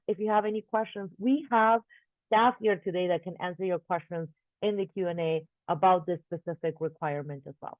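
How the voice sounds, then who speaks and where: A woman's voice: fairly low pitch, no room sound. A woman hosting an online webinar, giving friendly reminders and often restarting her sentences. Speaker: webinar host. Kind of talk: presenting announcements on a video call. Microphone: video call. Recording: dry, tinny phone-like audio.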